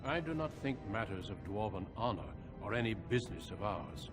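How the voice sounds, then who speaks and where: A man playing a composed, diplomatic warrior commander in a video game, speaking in a measured, courteous way. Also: lowish voice, lively intonation, natural voice. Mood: calm, understanding. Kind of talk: video game cutscene acting. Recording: music under the voice.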